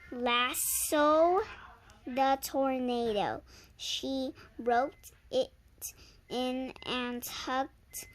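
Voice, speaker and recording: Slow, halting high-pitched young reader. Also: a bit bright. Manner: halting, sounds out words and repeats. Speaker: reader and channel host. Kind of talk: halting read-aloud storytelling. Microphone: handheld phone.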